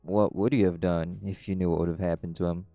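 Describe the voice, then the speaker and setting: A man playing a calm, caring were-dog pack alpha in an audio roleplay, gently reassuring a friend. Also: low voice, brisk, creaky, no echo, faint music behind. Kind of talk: audio roleplay acting, intimate comforting talk.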